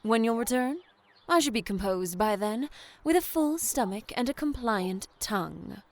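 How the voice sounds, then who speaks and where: A woman's voice: a little high, animated, moderate pace, darkish tone. A woman playing a proud, witty, defiant accused woman of the seventeenth century in an audio drama, poised and formal. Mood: defiant, composed. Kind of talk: period audio drama acting.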